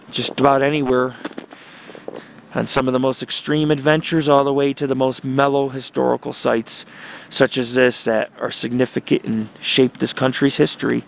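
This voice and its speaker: A man's voice: mid-range voice, vocal fry. A man giving a casual, rambling tour narration as a vlogger, speaking outdoors into a handheld camera. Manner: chatty, rambling. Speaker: vlogger and paranormal investigator. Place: outdoors in a small town street. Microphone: handheld camera mic. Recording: noisy outdoor recording with traffic ambience.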